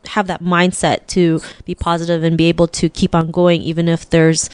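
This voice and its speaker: A woman's voice: fairly low pitch, monotone, moderate pace, a little mellow, clean tone, no room sound, studio quality. A woman hosting an interview podcast, chatting in an upbeat way and often saying "like" and "right?". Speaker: podcast host. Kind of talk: casual interview chat.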